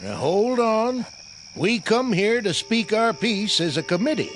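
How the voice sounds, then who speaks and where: High-pitched nervous Southern townsman. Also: big pitch swings, a little slow, fairly bright tone, soft background music, exaggerated voice. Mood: uneasy, accusing. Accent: rural Southern US. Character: nervous small-town committee spokesman. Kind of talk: radio drama acting.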